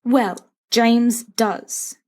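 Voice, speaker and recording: A woman's voice: on the high side, steady tone, extremely slow, dark tone, pitched above the performer's own voice. A woman voicing a sensible teenage schoolboy, earnest and exasperated as he reasons, in a storybook reading. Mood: resigned.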